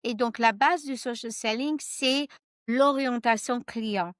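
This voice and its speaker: Woman guest on a podcast, high smooth voice, chatty, lots of hesitations, dry sound. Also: slow pace, no echo. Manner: animated, hesitant, laughs often, mixes in English. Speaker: guest author. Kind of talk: casual interview conversation.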